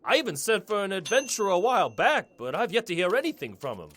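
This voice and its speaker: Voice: medium pitch, sing-song, quick pace, a bit bright, a little hiss or hum. An actor voicing a disheveled, grateful orc mayor in a fantasy audio drama. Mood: relieved, desperate. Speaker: quest-giving mayor.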